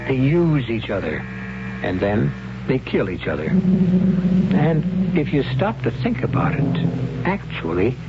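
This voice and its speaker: A man hosting a 1970s radio drama with scripted, theatrical, deliberate and wry narration. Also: mid-range voice, gravelly. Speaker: host and narrator. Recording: dull 1970s radio recording. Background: music.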